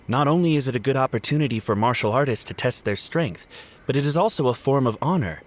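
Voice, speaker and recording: A man's voice: medium pitch, expressive, fast talker, lightly acted. An actor playing a courteous, earnest male samurai retainer in a video game, speaking politely and formally. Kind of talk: scripted video game dialogue.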